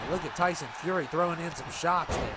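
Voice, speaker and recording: A man's voice: on the high side, fast talker. A man casually commentating on a video game match, chatty and reactive, a little congested from allergies. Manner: relaxed, chatty, reacts to the action. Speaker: gameplay commentator. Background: loud game crowd and noise.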